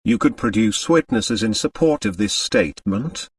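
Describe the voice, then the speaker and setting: Courteous examining magistrate voiced by a man, French, formal and tactful, expressive intonation, dry recording. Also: on the low side, moderate pace, breathy edge, no room sound, distant mic. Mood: probing, courteous. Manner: polite, hesitant, formal. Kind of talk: audiobook character dialogue.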